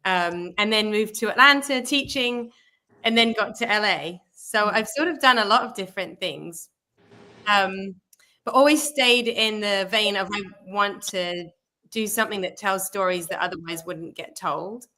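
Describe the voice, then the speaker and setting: A woman from England, a guest actress, answering thoughtfully in a casual interview with many pauses. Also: medium pitch, bright, forceful tone.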